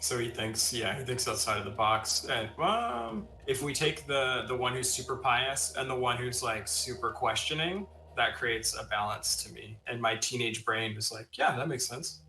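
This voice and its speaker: A man's voice: medium pitch, moderate pace, few pauses, pushed and bright. A man talking casually as a player in a tabletop role-playing game. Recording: roomy echo, music under the voice.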